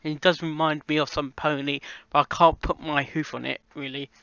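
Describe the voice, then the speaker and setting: A man with a fairly high, very bright voice talks off the cuff as in a casual reaction video. He speaks haltingly, circling back to repeat and rephrase his points. The recording is dry and slightly dull. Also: little pitch movement, creaky, clean tone, dry recording. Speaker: amateur voice actor reviewing a fan fiction audio reading. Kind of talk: unscripted casual reaction vlog.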